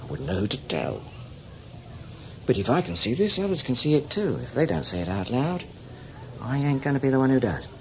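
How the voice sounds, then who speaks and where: An actor playing a seasoned, cynical older British spy in a radio drama, talking in a wry, knowing, emphatic way. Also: male voice, medium pitch, big pitch swings, brisk, slight background noise. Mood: reflective, cynical. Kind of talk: radio drama acting, conversational.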